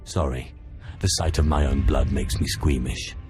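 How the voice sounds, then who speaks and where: A man playing a cool, sardonic dark lord in a video game, with dry, wry confidence. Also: a bass voice, fairly even intonation, moderate pace, faint music behind. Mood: wry mockery. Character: cool, sardonic dark Sith inquisitor, master of ghosts.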